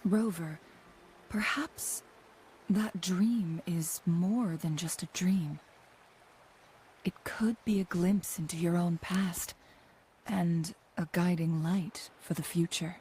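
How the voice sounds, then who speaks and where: A woman voicing a composed, knowledgeable young guide in a fantasy video game, speaking in a measured, formal, thoughtful way. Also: on the low side, mellow, a little hiss or hum. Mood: soft, pensive. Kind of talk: scripted video game voice acting.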